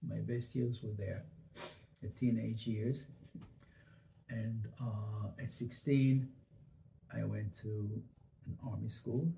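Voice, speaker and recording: An older man with a low, gravelly voice and a dark, mellow tone tells his family story slowly, stopping often to restart. He grew up in Israel. The recording has a roomy echo and a faint hiss. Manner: halting, with many fillers and restarts. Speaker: interviewee. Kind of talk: oral history interview. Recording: echoey room, faint noise.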